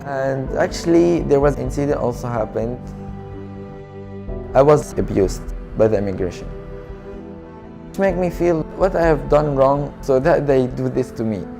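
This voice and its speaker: A young man from Syria who speaks natively tells his story as a refugee in a documentary interview, soft, halting and earnest in English. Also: mid-range voice, lively intonation, creaky, over music. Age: young adult.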